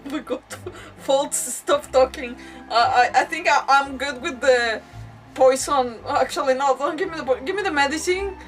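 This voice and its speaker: A woman live streaming a game with giggly, excitable, chatty casual reactions. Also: sits high, normal speed, distant mic, faint music behind.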